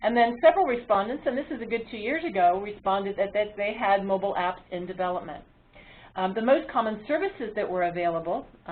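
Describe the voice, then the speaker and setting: A woman's voice comes through a narrow, phone-like line with a medium pitch. She presents a webinar in an explanatory way, pausing often on ums and uhs as she moderates. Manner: halting delivery with frequent ums and uhs.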